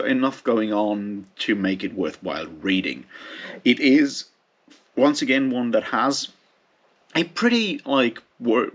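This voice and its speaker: A man giving a casual, unscripted book review as a host, speaking informally in rambling, hesitant phrases. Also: on the low side.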